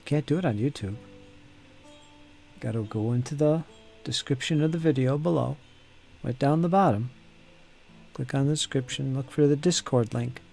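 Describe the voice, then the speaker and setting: Guy streaming his mini painting at his desk, rambly and friendly with chat, bit of music low behind him. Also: moderate pace, creaky, pauses often, darkish tone. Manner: chatty, talks to viewers by name, hums and mutters while working. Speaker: hobby streamer. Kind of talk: casual live-stream chat while painting miniatures.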